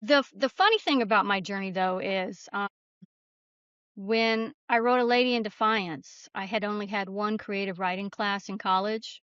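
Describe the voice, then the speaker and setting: A woman's voice: medium pitch, normal speed, fairly bright tone, clean tone, dry recording. A woman guest telling personal stories casually in an anecdotal way, on slightly dull audio. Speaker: podcast guest, novelist.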